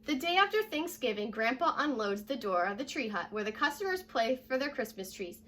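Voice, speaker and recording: A young American woman reading a children's story aloud like a teacher, addressing young listeners directly in a lively way. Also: on the high side, a little fast, a little hiss or hum. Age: young adult. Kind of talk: children's read-aloud with short asides. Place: living room at home, indoors.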